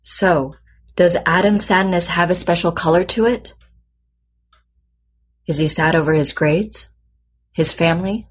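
An actress playing a composed, probing psychotherapist with a measured, clinical manner in a naturalistic audio drama. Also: female voice, on the low side, breathy edge. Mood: calm, probing. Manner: measured, calm, clinical questioning.

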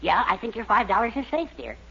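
Fussy, high-voiced timid little man. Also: sing-song, quick pace, vocal fry, pushed and bright, husky, full character voice. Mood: smug, doting. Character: fretful, timid, lovey-dovey henpecked little man. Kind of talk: 1940s radio sitcom acting. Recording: muffled 1940s radio recording, faint noise.

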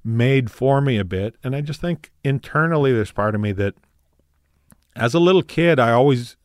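A man's voice: medium pitch, animated, moderate pace, no echo. Canadian prairie man, dry close mic. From Canada, rural Saskatchewan. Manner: rambling, self-correcting, laughs easily. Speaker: comedian guest. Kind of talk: casual interview chat.

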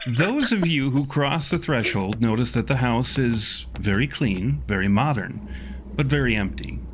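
A man running a tabletop horror game, narrating in a wry, wordy, deliberate way with dramatic pauses. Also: medium pitch, lively intonation, noisy background. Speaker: game master.

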